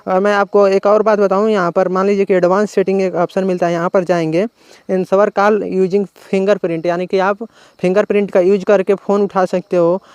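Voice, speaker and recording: A man's voice: sits high, little pitch movement, normal speed, a little mellow, smooth, dry recording, highs cut off. A man with an Indian accent narrating an informal phone tutorial as a tech channel host, explaining and rephrasing each step.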